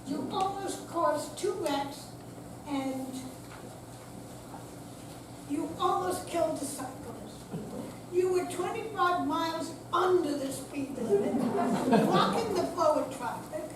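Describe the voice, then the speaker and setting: Woman reading as a high-voiced stern policewoman, echoey. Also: lively intonation, full character voice. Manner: formal, firm, deliberate. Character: stern, by-the-book female police officer. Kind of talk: staged reading of a play. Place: senior center hall, live performance. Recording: echoey room, distant mic, loud noise.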